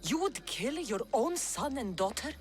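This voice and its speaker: A firm, dry-witted mercenary. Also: female voice, on the high side, big pitch swings, measured pace. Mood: stern. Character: commanding female mercenary hero. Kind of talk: video game voice acting.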